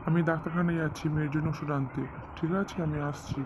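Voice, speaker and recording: A man's voice: fairly high pitch, flat delivery, fast, close to an everyday voice. A man voicing a doting, insistent father in an amateur cartoon dub, speaking. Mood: indignant, scolding. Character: doting father of a village girl, quick to scold his wife. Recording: noisy amateur home recording.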